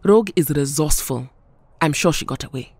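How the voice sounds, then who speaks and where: A woman's voice: low voice, a little fast, darkish tone, slightly rough, dry recording. A woman playing a proud, weary, dignified superheroine with a solemn, formal manner in an audio drama. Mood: calm, defensive.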